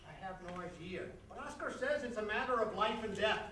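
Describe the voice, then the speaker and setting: A brash, boozy press agent, played by a man in a stage comedy, blustering in a high, bright voice. He wisecracks and picks fights, heard from a distance in an echoey room. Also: expressive, a little fast. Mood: impatient. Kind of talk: theatrical stage comedy acting. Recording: echoey room from afar, noisy.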